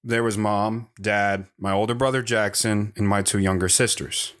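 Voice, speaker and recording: A man's voice: lowish voice, fairly even intonation, moderate pace, a bit bright, clean tone, lightly acted. A man playing a weary, philosophical wanderer in an audio drama, speaking in an earnest, reflective, understated way. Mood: wistful, earnest. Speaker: talk show guest character.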